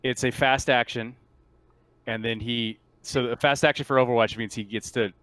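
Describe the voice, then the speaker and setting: A man's voice: mid-range voice, steady tone, normal speed, fairly bright tone, clean tone, no room sound. A man talking casually as game master during a tabletop roleplaying session. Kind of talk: casual gaming talk.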